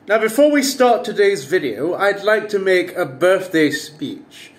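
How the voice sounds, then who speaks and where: A man, his voice pitched slightly above the usual for a man. He chats casually to camera as a commentary vlogger. He slips in wry, self-deprecating jokes as he goes. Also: very animated, a little slow. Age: 30s. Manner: wry, self-mocking, jokey asides.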